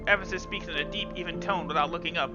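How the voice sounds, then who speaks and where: High-ish guy reading a game aloud all dramatic, music playing under it. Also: fast. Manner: dramatic reading with casual asides. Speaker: gaming video host. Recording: dull lo-fi sound with game music.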